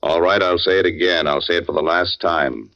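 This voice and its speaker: An actor playing a hard, fed-up husband with a tough, clipped, blunt manner in a 1940s radio drama. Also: male voice, low voice, steady tone, a little fast, a bit bright, no echo. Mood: cold impatience. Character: hard, curt playwright husband fed up with his wife. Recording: muffled old radio broadcast recording.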